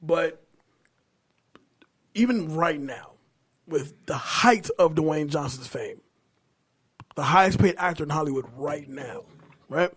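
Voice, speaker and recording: A man's voice: on the high side, slow, pauses often, fairly bright tone, slightly rough. A man hosting a casual podcast chat, steering the topics in informal, slangy language. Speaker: podcast host.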